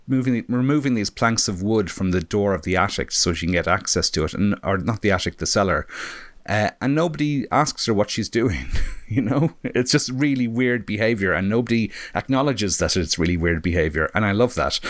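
Deep-voiced man, slightly croaky, close dry sound. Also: animated, moderate pace, no room sound. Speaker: film discussion panelist. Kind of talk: casual film review chat.

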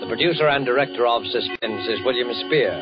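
A man's voice: medium pitch, a little fast. Old time radio guy signing off a thriller show, portentous, music behind him, dull vintage sound. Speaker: host and announcer of a suspense drama series. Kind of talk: 1940s radio scripted announcing. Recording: muffled vintage 1940s radio broadcast.

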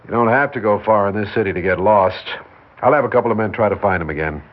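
An American actor playing a world-weary, reassuring police officer in 1960s radio drama, matter-of-fact and patient. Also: male voice, on the low side, fast talker, natural voice. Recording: tinny, narrow 1960s radio recording.

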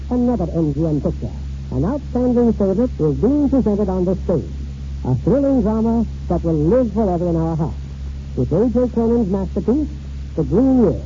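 A man presenting a 1940s radio theater show in formal, scripted announcer style. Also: high voice. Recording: muffled 1940s radio recording.

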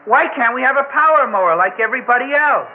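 An actor playing a grumbling teenage son who whines and sulks in a 1960s radio drama. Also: male voice, very high voice, animated, fast talker, faint music behind. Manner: whining, sulky complaints. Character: grumbling, put-upon teenage son of the family. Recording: tinny old 1960s radio recording.

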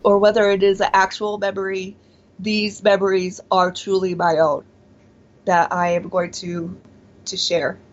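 Forties woman guest, slow and halting, lots of restarts, muffled lo-fi sound. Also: medium pitch, flat delivery. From born in Taiwan, raised in Pennsylvania. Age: 40s. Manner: hesitant, many fillers and restarts. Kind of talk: casual interview, personal testimony. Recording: dull lo-fi audio.

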